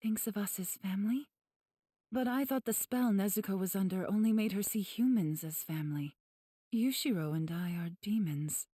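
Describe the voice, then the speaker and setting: A woman voicing a calm, gentle, refined demon healer in an anime game, soft and breathy, speaking in a measured, formal way. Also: mid-range voice, no room sound, clean studio sound, lightly acted. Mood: puzzled.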